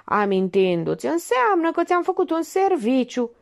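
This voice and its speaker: A woman voicing a sharp, sarcastic older female amateur detective who needles a suspect with pointed, mocking remarks. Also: high voice, very animated, gravelly, pitched above the performer's own voice, exaggerated voice.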